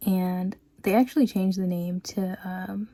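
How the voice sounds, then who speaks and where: Slow, mellow-voiced young woman vlogger. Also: fairly low pitch, steady tone, dry recording. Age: 20s. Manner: chatty, full of "like" and "um", drops to soft, hushed talk. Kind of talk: casual confessional vlog chat.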